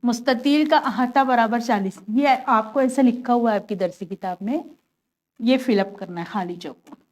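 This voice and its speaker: A woman's voice: a little high, normal speed, darkish tone. Woman teaching a maths lesson, patient. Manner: patient, step-by-step, asks and answers her own questions. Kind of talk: classroom lesson, explaining worked examples.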